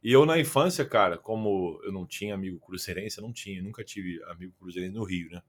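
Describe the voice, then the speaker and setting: Man, Carioca accent, low voice, young adult, casual podcast guest, rambling, lots of fillers. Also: fast. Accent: Carioca Portuguese. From Rio de Janeiro, Brazil. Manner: rambling, many restarts and fillers like "é" and "cara". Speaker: podcast guest, football content creator.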